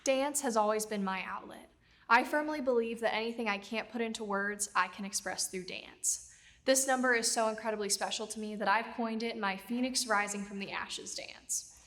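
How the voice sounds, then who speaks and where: A woman's voice: on the high side, normal speed, fairly bright tone. Earnest young woman in a reverberant hall. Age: young adult. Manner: earnest, heartfelt. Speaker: pageant contestant. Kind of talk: scripted talent introduction. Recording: long reverb of a large hall.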